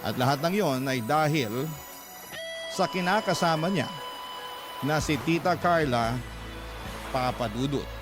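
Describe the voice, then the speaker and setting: A man's voice: a little high, lively intonation, measured pace, pauses often, music in the background. A man giving earnest, sorrowful scripted narration of a first-person radio drama story. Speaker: story narrator reading a letter sender's account.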